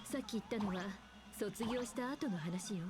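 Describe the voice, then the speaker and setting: A woman voice actor playing a composed, gentle but resolute adult heroine in an anime-style game. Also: medium pitch, fast, music in the background, close to an everyday voice. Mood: calm, reflective. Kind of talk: Japanese anime game voice acting.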